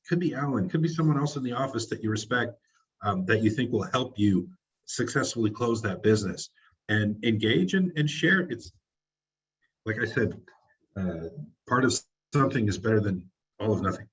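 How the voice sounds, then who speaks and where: Husky-voiced man coaching a sales team. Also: lowish voice, animated, moderate pace, dull audio. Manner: encouraging, rambling with restarts. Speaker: real estate team leader running a training. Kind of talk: motivational group coaching.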